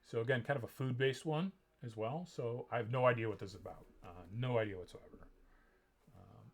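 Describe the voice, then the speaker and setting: A man chatting casually and ironically about books he picked up, deadpan and joking, with many pauses and restarts. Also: mid-range voice, vocal fry, breathy edge. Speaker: vlogger. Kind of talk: casual ironic haul chat.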